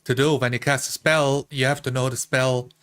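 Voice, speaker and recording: A man's voice: medium pitch, flat delivery, moderate pace, fairly bright tone, smooth. A man in casual, joking chat as a tabletop game player.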